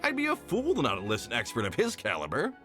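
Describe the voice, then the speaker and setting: A man voicing a genial, boastful middle-aged merchant in a video game, smooth and persuasive as he talks himself up. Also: medium pitch, wildly swooping pitch, fast. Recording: game audio with soft music.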